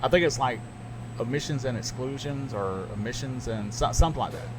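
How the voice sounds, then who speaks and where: A middle-aged man with a Southern US accent, a radio broadcaster chatting casually on a podcast, animated and quick to jump up in pitch when he makes a point. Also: noisy background. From American South. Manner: animated storyteller, jumps up in pitch when emphatic.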